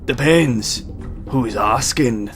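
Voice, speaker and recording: An actor playing a brash, menacing press-gang officer in a fantasy audio drama, taunting and blunt. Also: male voice, mid-range voice, extremely slow. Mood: gruff, dismissive. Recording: loud music behind the voice.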